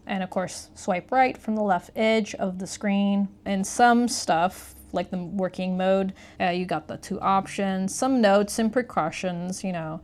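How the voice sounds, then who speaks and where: A woman's voice: mid-range voice, a little mellow. A woman giving a casual, conversational product review, explaining things as she goes.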